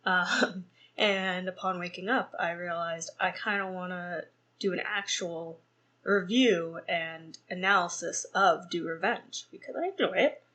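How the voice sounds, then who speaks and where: A woman's voice: on the low side, unhurried, pushed and bright. A young woman hosting a solo podcast and reviewing a film, rambling with many fillers and restarts and laughing at her own slips. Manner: laughs at herself. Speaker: podcast host.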